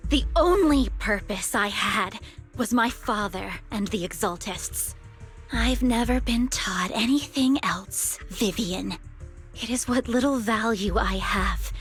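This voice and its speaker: A woman's voice: a little high, fairly bright tone, slightly rough, heavily acted. An actress playing a bitter, grieving young woman raised in a cult, wounded and resentful, in a dramatic video game scene. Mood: hurt, resentful. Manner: slow, deliberate, wounded and resentful. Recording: music behind the voice.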